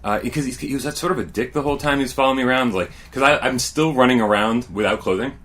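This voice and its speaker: A young man hosting a podcast in casual chat, with dry, deadpan humor. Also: mid-range voice, very fast, a little hiss or hum. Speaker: podcast host.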